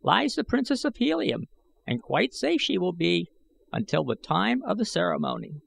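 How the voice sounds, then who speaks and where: A man voicing a bent, eager old man with excitable, old-fashioned pleading speech, as an audiobook character. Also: high voice, moderate pace, gravelly, slight background noise, pitched above the performer's own voice, exaggerated voice. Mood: counseling. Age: older.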